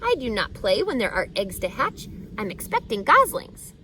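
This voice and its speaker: A woman's voice: fairly high pitch, wildly swooping pitch, moderate pace, pushed and bright, slight background noise, heavily acted, rough recording. A woman voicing a fussy, busy mother goose who repeats her words in a stuttering way, for a storybook. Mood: fussy, apologetic.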